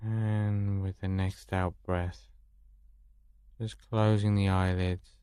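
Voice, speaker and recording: A man guiding a meditation in a calm, soothing manner with long pauses, recorded close in a dry space. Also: deep voice, smooth, no echo. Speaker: meditation guide. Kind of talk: guided meditation.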